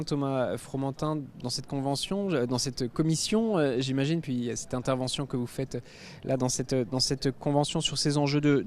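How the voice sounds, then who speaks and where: A man with a fairly high voice interviews guests as a panel host. He forms his questions haltingly, repeating phrases. Ambient noise is clearly audible behind him. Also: darkish tone. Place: TV set at a convention.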